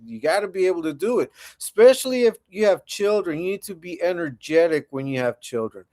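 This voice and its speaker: A man's voice: high-pitched, lively intonation, a little fast, a little mellow. A man in his forties hosting a casual livestream, chatting laid-back with viewers and often repeating himself. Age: 40s. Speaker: livestream host.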